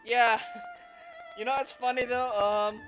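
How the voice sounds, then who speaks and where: A woman's voice: fairly high pitch, fairly even intonation, takes it slowly. Casual woman streaming over game music. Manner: informal, hesitant, reacts to game dialogue. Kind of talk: casual livestream commentary. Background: loud game music.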